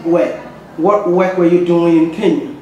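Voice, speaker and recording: A man's voice: on the high side, steady tone, unhurried, warm tone. Ghanaian man hosting, in a very echoey room. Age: young adult. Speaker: interviewer, video channel host. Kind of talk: casual interview. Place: indoor office lounge with glass walls. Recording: very echoey room, clear background noise.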